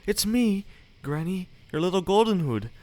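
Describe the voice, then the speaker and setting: A man doing a high, lilting little girl. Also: very animated, normal speed, mellow, dry recording. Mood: innocent. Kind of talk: storybook read-aloud.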